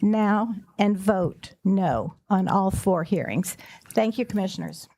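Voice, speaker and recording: A woman reading prepared questions in a deliberate, measured way as a public commenter at a county commission meeting. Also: medium pitch, dark tone.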